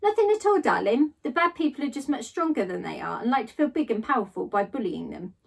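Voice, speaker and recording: A woman voicing a gentle, patient mother who explains things tenderly and earnestly to her child in a storybook. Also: on the high side, very animated, quick pace, creaky, slightly rough, far from the mic, full character voice. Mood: earnest, sad.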